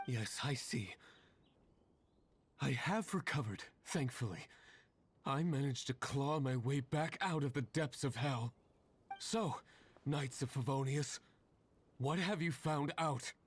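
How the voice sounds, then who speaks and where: A man's voice: mid-range voice, takes it slowly, long pauses, husky. An actor playing a bitter, sarcastic, vengeful young male villager in a video game. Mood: sardonic. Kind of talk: video game character dialogue.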